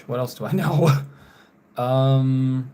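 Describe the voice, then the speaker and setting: Young male streamer from North Carolina, unhurried, laid-back chat, drifts quieter at the ends of phrases, apologetic. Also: medium pitch, clean tone. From North Carolina, USA. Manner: slow, trailing off quietly, apologetic about names. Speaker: live streamer. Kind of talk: casual live stream chat while drawing.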